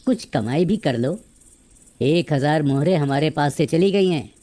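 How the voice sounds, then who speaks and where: A man's voice: fairly high pitch, lively intonation, unhurried, dark tone. A man playing a greedy, coaxing minister and father in a cartoon fairy tale.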